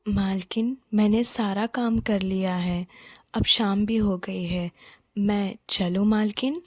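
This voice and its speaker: Woman voicing a meek, humble cartoon maid, slow-spoken. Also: medium pitch, steady tone, no room sound, studio quality. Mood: meek, apologetic. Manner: deferential, apologetic, soft-spoken. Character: humble, meek poor maid and sweet-maker, a mother. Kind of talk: Hindi children's cartoon story acting.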